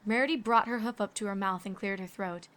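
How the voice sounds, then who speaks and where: A woman's voice: medium pitch, fairly quick, fairly bright tone. A woman reading scripted story narration as the narrator.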